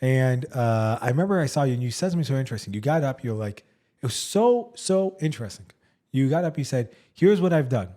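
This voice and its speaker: Fast-talking man, effusive podcast host. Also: mid-range voice, animated, mellow. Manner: effusive, emphatic, repeats words for stress. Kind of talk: casual interview chat.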